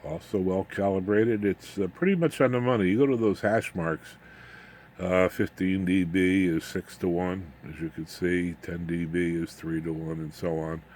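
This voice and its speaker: A man giving an informal, unscripted hands-on demonstration as a hobbyist, haltingly, muttering asides to himself as he works. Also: deep voice, fairly even intonation, a little mellow. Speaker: hobbyist radio builder demonstrating his equipment. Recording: clear background noise, room ambience.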